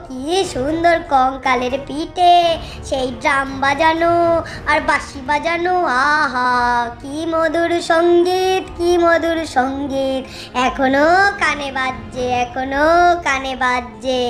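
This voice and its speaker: Woman as a very high-voiced, dreamy ghost husband. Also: fairly even intonation, slow pace, a bit bright, soft background music. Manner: sentimental, dreamy, repeats phrases. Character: doting, romantic male ghost husband. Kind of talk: animated cartoon dialogue.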